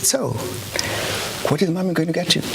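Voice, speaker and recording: A man's voice: on the high side, moderate pace, vocal fry. A man, hosting a news show and interviewing a pupil in a playful, teasing way. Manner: playful, teasing, animated questioning. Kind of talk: casual on-the-spot interview. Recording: noisy background ambience.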